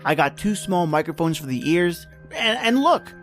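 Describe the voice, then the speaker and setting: A man playing an obsessed, defensive young inventor in an old-time radio horror drama, earnest and halting when pressed. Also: on the high side, animated, faint music behind. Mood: proud, eager.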